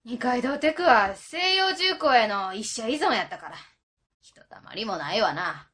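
A woman acts out a hot-tempered Kansai auntie for a radio drama. Her voice is bright and forceful, swinging widely in pitch. She scolds bluntly in colloquial speech. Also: animated, moderate pace. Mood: grave, reproachful. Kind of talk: web radio drama acting.

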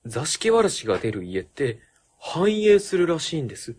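A man's voice: a little high, very animated, normal speed, darkish tone, breathy edge. A man playing an earnest, anxious junior city official in a comedic radio drama, speaking formally. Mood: earnest worry.

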